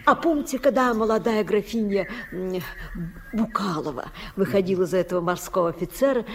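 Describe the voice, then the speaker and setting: A woman's voice: medium pitch, fairly quick, lightly acted. A woman playing a nostalgic elderly genteel lady in a radio play, theatrical and sighing, with French phrases. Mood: wistful, rapturous.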